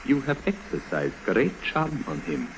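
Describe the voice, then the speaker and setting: An actor playing a formal, scheming foreign baron who speaks precisely and insistently in a 1950s radio drama. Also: male voice, mid-range voice, wildly swooping pitch, measured pace. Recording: noisy old 1950s radio recording.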